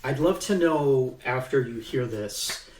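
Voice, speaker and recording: A man, an author, reading his own novel aloud in an unhurried way, pausing with fillers as he chats and leaning into the tense passages. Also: medium pitch, expressive, a little mellow, a little hiss or hum. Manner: unhurried, with halting fillers in the chat and livelier emphasis in the reading. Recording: echoey room, mic at a distance.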